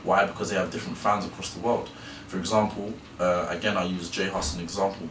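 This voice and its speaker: Young man, very low voice, student presentation, hesitant with restarts, far from the mic in an echoey room. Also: flat delivery, quick pace. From England. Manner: hesitant, restarting phrases often. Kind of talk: school presentation. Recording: echoey room, from a distance, noisy.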